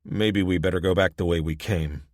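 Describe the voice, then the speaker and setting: A man's voice: low voice, steady tone, moderate pace, a little mellow, no room sound, clean studio sound, lightly acted. A man voicing a petulant young male passenger who talks in a whiny, insistent way.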